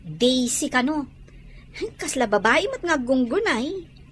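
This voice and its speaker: High, swooping voice, an actress playing nosy excitable village woman, comedy drama, some background noise. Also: slow pace, heavily acted. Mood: gossipy amused. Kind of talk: Ilocano audio comedy drama acting.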